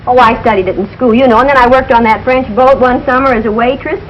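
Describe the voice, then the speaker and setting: A woman's voice: fairly high pitch, steady tone, quick pace, lightly acted. An actress playing a sensible, exasperated middle-aged wife and mother in a 1940s radio situation comedy, wry and matter-of-fact. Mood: patient. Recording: dull, noisy 1940s radio recording.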